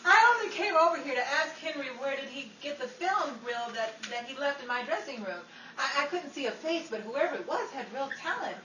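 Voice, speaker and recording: A woman playing a brisk, sassy Hollywood showgirl and presenter in old-time radio style. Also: sits high, expressive. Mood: exasperated, sassy. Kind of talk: old-time radio comedy acting and newsflash narration. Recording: echoey, distant recording with faint noise.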